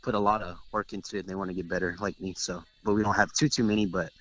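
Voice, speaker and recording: A man's voice: sits low, flat delivery, rapid-fire, fairly bright tone, low-quality recording. A young man from Texas, a guest player in a casual interview, talking brash and cocky with plenty of swearing.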